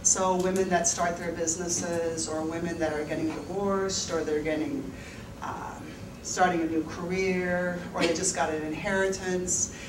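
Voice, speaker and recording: A woman's voice: lowish voice, level tone, moderate pace. A woman in her fifties from the Netherlands, a financial advisor telling her personal story to an audience at a university event, candid, laughing often and using many fillers. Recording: echoey room, mic at a distance, background noise.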